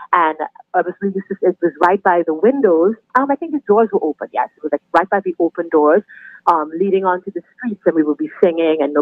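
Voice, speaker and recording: A middle-aged woman with a Trinidadian accent, chatting warmly and laughing easily as a guest on a podcast interview. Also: fairly low pitch, no room sound. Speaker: guest, anthem singer. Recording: tinny phone line, dry.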